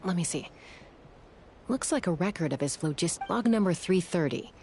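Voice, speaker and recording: An actress playing a determined, composed young female adventurer in a video game, brisk and decisive. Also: lowish voice, animated, a little mellow, faint music behind. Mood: focused.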